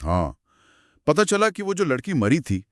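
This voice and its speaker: Animated man telling horror stories. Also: fairly high pitch, a little slow, dry recording, clean studio sound. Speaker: host narrating horror stories. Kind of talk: conversational horror storytelling.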